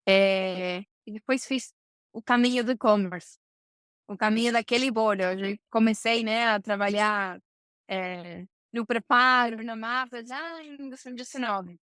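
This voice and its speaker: High, clear woman. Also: unhurried, fairly bright tone, no echo, clean studio sound. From Argentina, Buenos Aires. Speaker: podcast guest, supply chain professional. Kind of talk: conversational interview answers.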